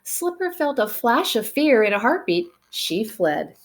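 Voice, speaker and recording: A woman reading a picture book aloud to children in an expressive way, with dramatic pauses and chatty asides to the listeners. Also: on the high side. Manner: animated, dramatic pauses, engages young listeners with questions.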